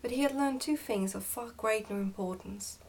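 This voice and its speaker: A woman reading a children's story aloud in ASMR style, soft-spoken and sometimes near a whisper. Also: on the high side, moderate pace, recorded from a distance. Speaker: ASMR book reader.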